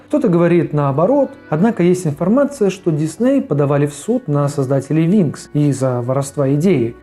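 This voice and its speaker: Man with a bright, crisp voice. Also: a little high. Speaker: host of a cartoon review show. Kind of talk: casual video essay narration. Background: background music under the voice.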